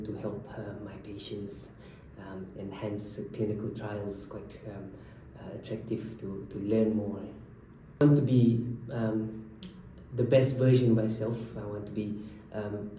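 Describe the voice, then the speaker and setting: Man in his 30s, from Malaysia, research doctor; mellow, low voice, halting delivery full of ums, mic a little distant in a very echoey room. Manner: hesitant, many fillers and restarts. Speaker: haematology research fellow. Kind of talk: unscripted introduction to camera. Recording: echoey room, from a distance, faint background noise.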